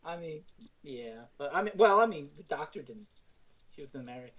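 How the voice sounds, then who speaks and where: A woman's voice: deep voice, expressive, fairly quick. A woman co-hosting a casual recap, speaking in a blunt, sweary, informal way. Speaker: podcast co-host recapping the story. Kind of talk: casual chat.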